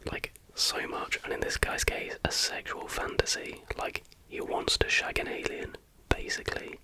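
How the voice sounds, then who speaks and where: Whispering man, ASMR storyteller. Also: low-quality recording. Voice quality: breathy, unvoiced whisper. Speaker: ASMR host.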